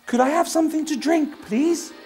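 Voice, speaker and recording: A woman's voice: high voice, moderate pace, darkish tone, faint music behind, pitched above the performer's own voice, close to an everyday voice. A woman voicing a shy, polite young male swan in a storybook, apologetic and hesitant.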